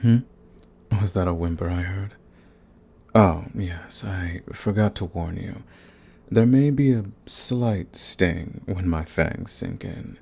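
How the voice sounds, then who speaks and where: Deep, slow, gravelly vampire master, acted by a man. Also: a little mellow, heavily acted. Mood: seductive, menacing. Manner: slow, coaxing, condescending, drifting into breathy whispers. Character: dominant, sadistic male vampire master, possessive and seductive. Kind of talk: intimate ASMR roleplay.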